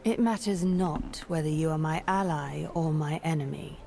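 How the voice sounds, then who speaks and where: Game villainess: ancient regal sorceress, deep dark tone, measured, disdainful, menacing. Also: female voice, gravelly, slight background noise. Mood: cold disdain. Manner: measured, imperious, formal, menacing. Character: cold scheming ancient sorceress, regal manipulator plotting from shadows. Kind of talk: scripted video game character voice lines.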